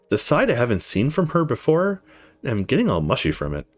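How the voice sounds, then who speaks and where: A man's voice: medium pitch, huge pitch swings, fast talker. A man reading aloud as a brooding, introspective young visual novel protagonist, reflective and earnest. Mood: reflective, tender.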